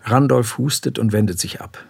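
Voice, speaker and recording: An actor playing a detached narrator who reads scene directions in a terse, matter-of-fact way for a radio drama. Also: male voice, medium pitch, steady tone, normal speed, gravelly, husky. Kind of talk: scripted stage-direction narration.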